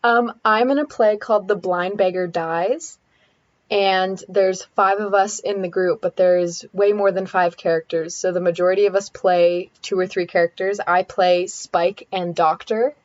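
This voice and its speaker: A high-school student actor in her late teens, answering questions in a hesitant, measured way. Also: steady tone, lo-fi sound. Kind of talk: casual interview answers.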